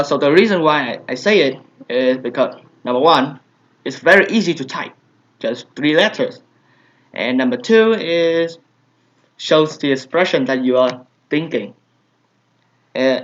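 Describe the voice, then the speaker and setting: A young man, a native Vietnamese speaker with a Vietnamese accent, answering viewer questions in a hesitant, casual way with many fillers. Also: a little high. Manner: hesitant, many fillers and soft trailing asides. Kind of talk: casual Q&A vlog. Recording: dull lo-fi home recording.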